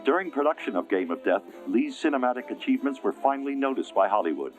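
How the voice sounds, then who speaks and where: A man reading scripted documentary narration in a measured, even way. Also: mid-range voice. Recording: narration over music.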